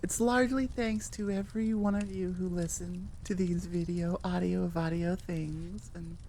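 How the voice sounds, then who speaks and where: A woman's voice: on the low side, fairly even intonation, normal speed, warm tone, a little hiss or hum. A woman chatting casually and unscripted to her listeners as an audio creator, rambling, candid and a little self-deprecating. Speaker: YouTube audio roleplay creator.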